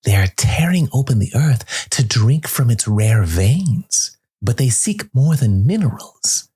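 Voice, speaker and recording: A man voicing a small, clever furred woodland creature in a storybook tale, slow and hushed, warning cryptically in a breathy rasp. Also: mid-range voice, sing-song, pitched above the performer's own voice, full character voice. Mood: hushed, ominous.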